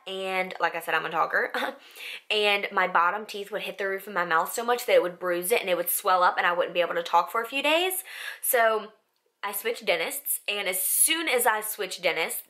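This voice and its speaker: A young woman with a raspy voice, chatting casually to camera in a vlog, full of "like" and "um". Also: medium pitch, pushed and bright. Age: young adult. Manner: chatty, filler-heavy with frequent "like" and "um". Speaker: vlogger. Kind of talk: casual facts-about-me vlog.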